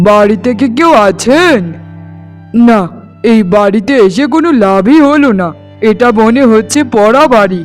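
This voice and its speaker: A man playing a meek young village cowherd in a cartoon fairy tale, speaking in a polite, pleading manner. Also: high voice. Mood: anxious, pleading. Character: lost, hungry young cowherd flute player, meek and smitten.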